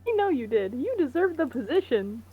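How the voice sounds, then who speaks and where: A woman's voice: high-pitched, very animated, fairly quick, slightly rough, narrow, tinny audio, full character voice. A woman playing a genteel, old-fashioned politician's wife in a game, with a polite, gracious manner. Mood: approving, snide.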